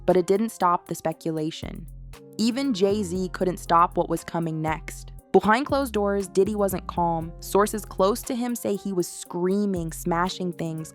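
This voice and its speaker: A woman reading a scripted, dramatic gossip exposé in short emphatic phrases, as a narrator. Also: mid-range voice. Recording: music bed under the voice. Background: background music.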